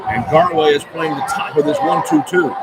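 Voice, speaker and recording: A man's voice: a little high, moderate pace. An American man calling live basketball play-by-play for radio, describing the action in short clipped phrases. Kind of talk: live radio sports commentary. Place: large indoor basketball arena. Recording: live arena broadcast with loud crowd noise. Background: loud crowd and arena noise.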